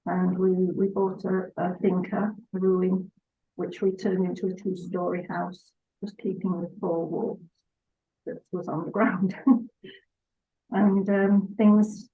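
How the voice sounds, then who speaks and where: Older woman, mid-pitched, hesitant, soft-spoken, muffled lo-fi sound. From Salford, England. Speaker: guest artist. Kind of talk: relaxed interview chat. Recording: dull lo-fi sound.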